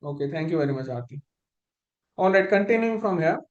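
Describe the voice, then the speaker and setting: A man's voice: on the high side, expressive, brisk, roomy sound, highs cut off. A man instructor leading a live online spreadsheet lesson, explaining step by step and often restarting his phrases.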